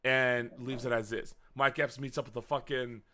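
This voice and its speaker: A man hosting a podcast, rambling through a profane, casual movie recap. He swings from loud outbursts to muttering, and his voice is wearing out. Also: mid-range voice, flat delivery, moderate pace. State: losing his voice. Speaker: podcast host.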